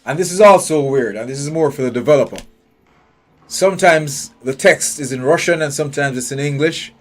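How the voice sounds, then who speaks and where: A man's voice: a little high, brisk. Blunt, profane male game streamer. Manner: blunt, profane, thinking aloud; nitpicking, questioning everything. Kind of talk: live gameplay commentary. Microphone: headphones with a desk mic. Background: faint game music.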